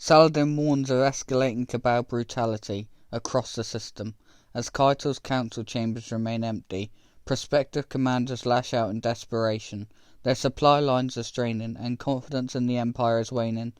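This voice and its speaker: A man plays a loyal little robot companion in a sci-fi game. He reports in a crisp, mid-pitched, dry-recorded voice, matter-of-fact and businesslike. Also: steady tone, moderate pace, no room sound, close to an everyday voice. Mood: sober briefing. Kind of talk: scripted game narration.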